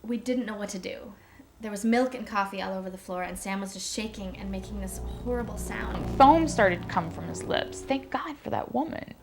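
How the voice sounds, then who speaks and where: A woman's voice: mid-range voice, normal speed, vocal fry, soft background music, natural voice. An actress playing a reflective woman giving a hesitant, confiding account in a naturalistic documentary interview style. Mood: shaken. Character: reflective woman recounting meeting her secret half-brother.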